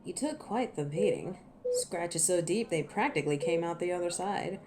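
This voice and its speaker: A woman's voice: lowish voice, moderate pace, a little mellow, a little hiss or hum, lightly acted. A woman playing a cocky, teasing werewolf in a scripted audio roleplay, sardonic and protective with an edge of menace, speaking to the listener. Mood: teasing, relieved. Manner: sardonic, taunting, playful.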